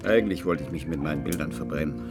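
An actor playing a drunken, self-pitying old painter in a German radio drama, speaking in a theatrical, declamatory way. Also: male voice, a bass voice, fast talker, over music. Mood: bitter, self-pitying. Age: older. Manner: theatrical, declamatory, emotionally volatile.

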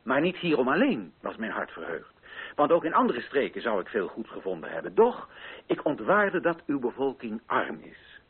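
A solemn, idealistic colonial official in a radio play, orates formally. His delivery is declamatory and sweeps widely in pitch. The voice is husky, on a dull, band-limited recording. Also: wildly swooping pitch, normal speed, full character voice. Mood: earnest, exalted. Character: idealistic, solemn male colonial official addressing native chiefs. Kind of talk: radio drama speech.

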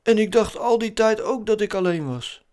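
Man acting a storybook alien, naive, lonely, wide-eyed, raised pitch. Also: animated, normal speed, darkish tone, smooth. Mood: sympathetic. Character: naive lonely male space creature fallen from Uranus.

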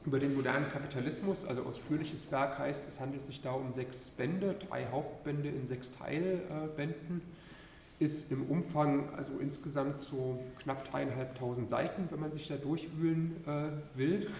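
A man's voice: fairly high pitch, normal speed, a little mellow, slight background noise. Guy with a cold lecturing, lots of ums, sounds far away in a big echoey hall. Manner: hesitant, many filler sounds, explanatory. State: has a cold. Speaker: university lecturer. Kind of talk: academic lecture.

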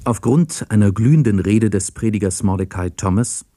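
A man reading a formal scripted story summary in a measured manner. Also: on the low side, warm tone, no room sound. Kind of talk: scripted narration.

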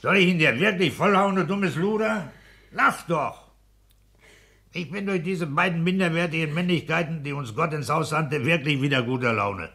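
Ein Mann spielt in einem Hörspiel der sechziger Jahre einen polternden, herrischen, pedantischen kleinbürgerlichen Ehemann und Beamten. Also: male voice, fairly high pitch, normal speed, sharp tone, husky, full character voice. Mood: scolding, domineering. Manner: pedantic, domineering, emphatic. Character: blustering, bullying petty-bourgeois husband and civil servant. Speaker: radio play actor. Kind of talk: 1960s German radio play acting.

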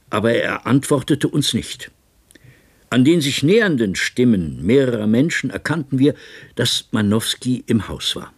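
A watchful colleague recounting tense events in a radio drama. His voice is husky and mid-pitched, his measured and restrained. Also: heavily acted. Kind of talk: radio play narration in German.

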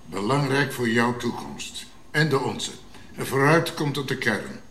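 A commanding king in a radio drama, grave and measured. His low-set voice moves widely in pitch and takes its time. It sounds roomy. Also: very animated, a bit bright. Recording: roomy sound with faint noise.

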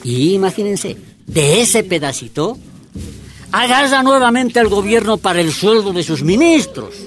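A man's voice: high voice, sing-song, a little slow, a bit bright, faint music behind, exaggerated voice. An actor playing a fervent miners' union leader in a radio drama, explaining things to his comrades in an emphatic, rhetorical way. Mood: indignant.

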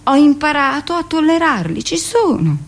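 An actress playing a hardened middle-aged midwife who confesses and justifies herself in an insistent, expressive radio monologue. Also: female voice, high voice, normal speed. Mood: resigned.

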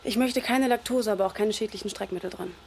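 A woman's voice: medium pitch, fast, creaky, darkish tone, slight background noise, lightly acted. An actress playing a cool, self-assured dealer in her twenties, speaking directly and in a businesslike way in a radio drama. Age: 20s. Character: cool, self-assured 25-year-old female drug dealer. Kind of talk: naturalistic radio drama dialogue.

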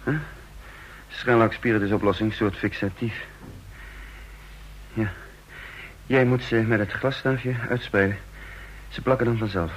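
Man acting a tense, low-voiced wounded secret agent. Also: steady tone, unhurried, long pauses, slight background noise, close to an everyday voice, poor audio. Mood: businesslike, strained. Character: tense, wounded male secret agent narrating his own mission. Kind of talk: radio drama acting and first-person narration.

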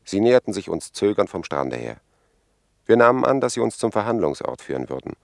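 An actor playing a grave expedition member who gives a formal, measured eyewitness report in a 1950s German radio drama. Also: male voice, fairly low pitch, big pitch swings, vocal fry, no echo, studio quality. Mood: tense, descriptive.

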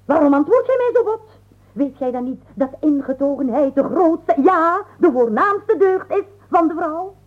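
An actress playing a scolding, long-winded, haughty wife in a radio comedy. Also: female voice, expressive, moderate pace. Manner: shrill, haughty, lecturing. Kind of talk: 1980s Flemish radio comedy acting. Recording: dull, band-limited old radio recording.

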